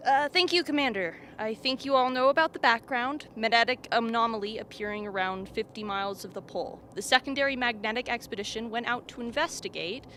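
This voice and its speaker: A woman's voice: a little high, fairly quick, hardly any pauses, a bit bright, lightly acted. A woman playing a knowing, matter-of-fact expedition scientist in a radio drama. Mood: explanatory. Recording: faint background noise.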